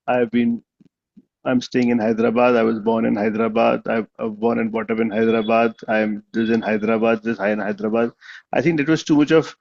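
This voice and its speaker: A man's voice: medium pitch, a little mellow, dull audio. A man giving conversational spoken feedback at a club meeting over a video call, with many restarts and fillers. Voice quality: gravelly. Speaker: meeting evaluator.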